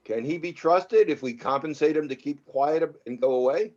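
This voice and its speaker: A man playing a menacing, controlling film producer with calm threat in a drama table read. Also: fairly high pitch, normal speed, natural voice. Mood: cold menace. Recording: dull lo-fi microphone.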